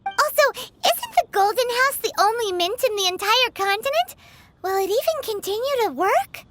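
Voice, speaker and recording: A woman voicing a tiny, childlike floating fairy companion in a video game, squeaky, dramatic and exclamatory. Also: normal speed, very noisy. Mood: curious. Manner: exclamatory, expressive. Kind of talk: video game character acting. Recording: music behind the voice.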